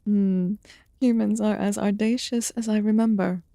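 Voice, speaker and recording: A woman playing an ancient, aloof fae in a fantasy ASMR roleplay, murmuring softly and formally with some whispered phrases. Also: medium pitch, steady tone, dry recording, clean studio sound, lightly acted. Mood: amused, patient. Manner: slow, deliberate, archaic formal wording. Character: ancient aloof female fae recluse, haughty yet quietly caring.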